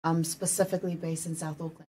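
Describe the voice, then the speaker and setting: A woman's voice: low voice, flat delivery, quick pace, mellow, slightly rough. A young woman interviewing a guest in a small studio, asking polite, tentative questions at a desk microphone. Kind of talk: conversational interview. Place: small studio with decorated backdrop.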